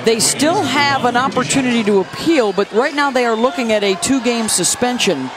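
A woman doing brisk play-by-play commentary courtside on a headset mic. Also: fairly high pitch, animated, noisy background. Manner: brisk, calls scores and stats. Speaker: play-by-play announcer. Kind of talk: live basketball commentary. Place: courtside at a packed arena. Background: loud crowd and arena music.

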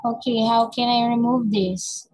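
A woman's voice: a little high, steady tone, darkish tone, slightly rough. Patient Filipino woman tutoring online. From Philippines. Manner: patient, slow and encouraging, repeats the child's answers. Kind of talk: online lesson with a child. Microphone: headset on a video call.

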